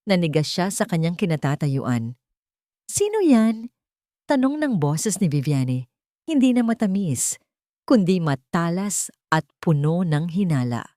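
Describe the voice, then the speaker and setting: Low-voiced woman narrating a dramatic story. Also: warm tone, dry recording, clean studio sound.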